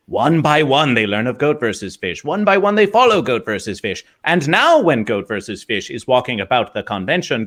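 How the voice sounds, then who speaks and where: A man's voice: mid-range voice, a bit bright. A man voicing a quirky male mascot character who talks about himself in the third person, in stilted grammar with a constant "yes". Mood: pleased. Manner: theatrical, swooping storytelling delivery. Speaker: guest. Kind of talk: in-character livestream chat.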